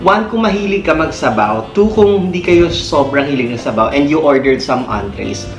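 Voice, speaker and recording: A man's voice: fairly high pitch, lively intonation, a little fast. A man with a Filipino accent chatting casually as a food vlog host, switching between English and Tagalog. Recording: echoey room, music behind. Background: clear background music.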